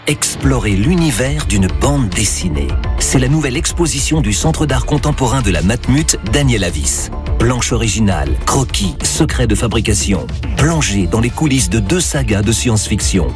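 A man delivers a scripted radio promo in a medium-pitched voice. His intonation is very animated, with music clearly behind him. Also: normal speed. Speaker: advert voice-over.

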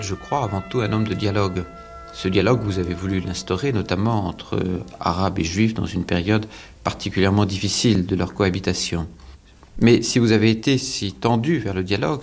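A man interviewing a writer on the radio, asking long, carefully phrased questions in a measured way. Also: fairly low pitch, creaky, soft background music. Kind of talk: formal archival radio interview.

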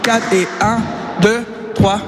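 A high-pitched man teaches dance in a studio, cheerful and laughing as he calls out the beats. His voice rings with a long hall echo, and loud music plays behind him. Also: fairly even intonation, takes it slowly. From Venezuela. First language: Spanish. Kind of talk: interview and dance lesson.

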